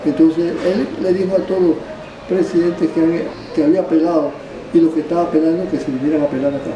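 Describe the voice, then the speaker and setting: An older man from Panama, recounting his life as a barber in a rambling, halting way, restarting phrases and adding tag questions. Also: on the high side, steady tone, muffled recording, a crowd in the background. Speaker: barber being interviewed. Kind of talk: rambling oral history. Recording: roomy, distant mic, background ambience.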